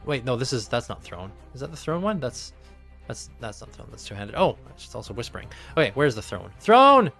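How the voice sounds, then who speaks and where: A man's voice: medium pitch, very animated, a little fast, vocal fry, bright, forceful tone, soft background music. A man acting as game master in a live tabletop game, narrating turns in a chatty way and thinking rules through aloud. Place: home streaming setup.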